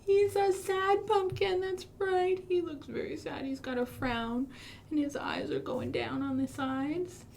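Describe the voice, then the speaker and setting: American woman, storytime host for small children. Fairly high voice, expressive, encouraging, slight background noise. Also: a little slow, creaky. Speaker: children's librarian hosting storytime. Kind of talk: interactive children's storytime with songs and finger rhymes. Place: live online stream for a library.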